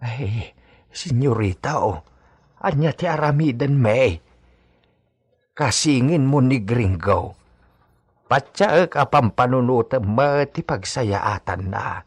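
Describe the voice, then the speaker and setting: A man playing a worried, respectful older ranch servant with a husky voice in a radio drama. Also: medium pitch, lively intonation, takes it slowly, long pauses, no echo, studio quality, heavily acted. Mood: concerned, pleading.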